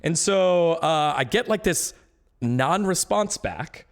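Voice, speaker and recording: A man's voice: on the high side, animated, slow pace. A man talking casually on a live stream about esports, ranting with growing indignation.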